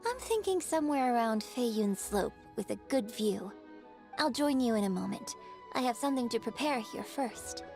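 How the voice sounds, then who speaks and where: A woman's voice: on the high side, lively intonation, normal speed, darkish tone, soft background music. A woman playing a poised young stage performer in a video game, friendly and playfully dramatic, speaking in a composed, articulate, theatrical way. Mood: friendly, upbeat.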